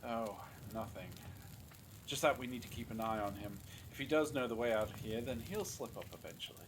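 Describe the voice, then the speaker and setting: A man's voice: medium pitch, a little fast, a little hiss or hum, natural voice. A man playing a suspicious, scornful captive in an audio drama, sardonic and dismissive. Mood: wary, commanding. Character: suspicious, scornful male abductee, cynical and sarcastic.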